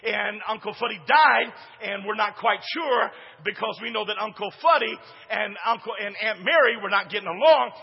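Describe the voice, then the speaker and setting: A male pastor with a big booming voice and a high-pitched, husky edge, preaching in a church sanctuary. He drops to hushed asides, then builds to shouts while prompting the congregation. The sound is dull and muffled, with long reverb trailing behind him. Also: moderate pace, hardly any pauses. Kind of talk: sermon.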